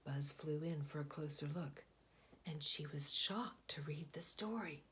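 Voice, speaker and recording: Slow expressive woman reading a picture book. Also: sits low, slight background noise. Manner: expressive, slow, hushed asides. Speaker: storybook reader. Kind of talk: picture book read-aloud.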